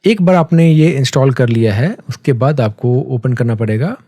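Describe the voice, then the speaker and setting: An Indian-accented man walks a learner through software in a casual, conversational way. His voice is mellow and relaxed at an average pitch, recorded very dry. He explains step by step, slipping in English terms and checking in as he goes. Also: moderate pace, dry recording. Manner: conversational, mixes English terms, often checks in with a tag phrase. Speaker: software tutorial instructor.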